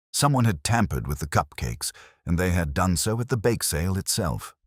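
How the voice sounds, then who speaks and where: A man narrating a cosy mystery story in a measured, formal, literary manner. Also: very deep voice, husky, no echo, studio quality. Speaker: audiobook narrator.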